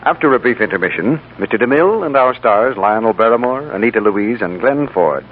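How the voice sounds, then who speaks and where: A man with a lowish voice and sweeping intonation makes formal 1940s network radio announcements. Music plays clearly behind him on a thin, phone-like old recording. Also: animated, brisk.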